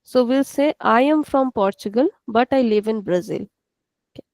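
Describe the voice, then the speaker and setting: A woman's voice: on the high side, normal speed, a little mellow, no room sound. A woman with an Indian English accent teaching an online language class, explaining patiently and repeating each point step by step.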